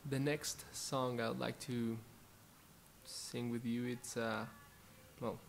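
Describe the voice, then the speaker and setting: A young man in his twenties from Colombia, Spanish-speaking by birth, with a Colombian Spanish accent, introducing worship songs at home in a soft-spoken, halting way. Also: mid-range voice, flat delivery, gravelly, a little hiss or hum. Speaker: worship musician. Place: living room at home, indoors. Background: faint acoustic guitar.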